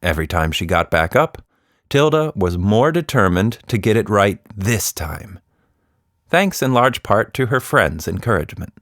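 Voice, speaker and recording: A man reads a children's story with theatrical expression. His voice sits fairly low and moves widely up and down as he narrates. The sound is dry and close. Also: expressive, moderate pace, fairly bright tone, no echo.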